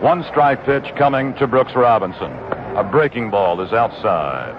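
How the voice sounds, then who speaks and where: American guy doing old radio baseball play by play, measured descriptive calls, muffled sound, really loud background noise. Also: mid-range voice, expressive. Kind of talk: live radio sports play-by-play. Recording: noisy dull vintage radio broadcast. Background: loud stadium ambience.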